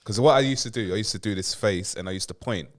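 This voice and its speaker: A man's voice: lowish voice, quick pace. A young man chatting casually on a podcast, hesitant and full of fillers. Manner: hesitant, lots of fillers, breaks into laughter. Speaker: podcast co-host. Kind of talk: casual chat.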